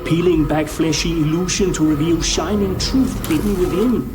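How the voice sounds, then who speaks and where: Theatrical sadistic flesh-sculpting torturer, high-voiced man acting. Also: moderate pace, close to an everyday voice. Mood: menacing reverie. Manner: theatrical, lingering, menacing musing. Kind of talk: video game cutscene acting. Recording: music behind the voice.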